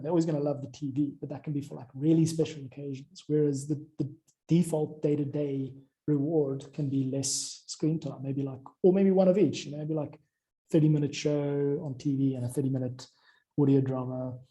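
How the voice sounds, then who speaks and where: A man's voice: fairly high pitch, steady tone, a little fast, dark tone, poor audio. A man talking informally about audio production, explaining things in a rambling way as he thinks aloud. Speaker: audio drama music creator.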